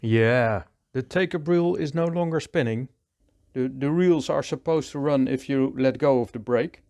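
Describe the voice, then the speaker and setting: Slow, calm Dutch-accented repair man. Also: mid-range voice. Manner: calm, thinking aloud while working. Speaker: repair technician. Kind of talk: hands-on repair tutorial.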